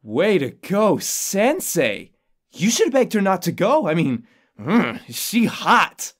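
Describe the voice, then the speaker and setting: A man's voice: high-pitched, sing-song, slow, heavily acted. A man playing a cheeky, girl-crazy teenage boy in an amateur anime-style drama. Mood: teasing.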